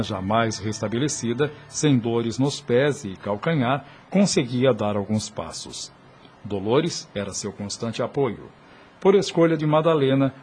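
A man narrating a radio drama in a formal, solemn and measured storytelling style. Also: medium pitch, slight background noise. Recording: radio production with music underneath. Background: background music.

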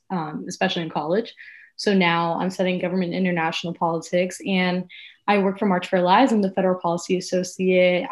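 Low-voiced young woman, earnest and reflective. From South Florida. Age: young adult. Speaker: podcast co-host, activist. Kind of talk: casual personal storytelling.

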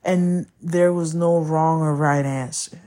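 A woman whose first language is Spanish, hosting a podcast and talking candidly about a breakup, often pausing to find her words. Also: deep voice, steady tone, dark tone. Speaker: podcast host. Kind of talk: personal monologue.

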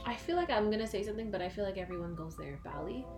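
A woman's voice: medium pitch, racing. Young woman chatting casually over music. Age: 20s. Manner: slangy, animated, self-mocking, peppered with "like" and "bro". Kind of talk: casual chat between friends.

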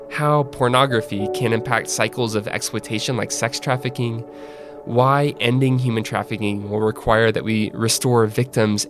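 A man hosting a podcast interview, reading a measured scripted intro and then asking conversational questions. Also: medium pitch. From Northwest Arkansas. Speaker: podcast host.

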